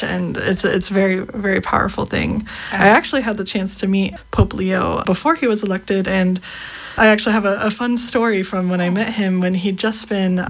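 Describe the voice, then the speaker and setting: A woman's voice: medium pitch, rough recording. A woman, a reporter telling personal anecdotes as an interview guest in a conversational way.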